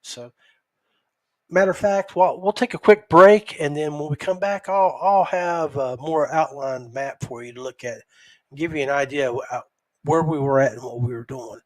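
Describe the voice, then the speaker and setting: A man hosting a podcast, giving a casual, unscripted recap in a halting, folksy, conversational way. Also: on the high side. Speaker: podcast host.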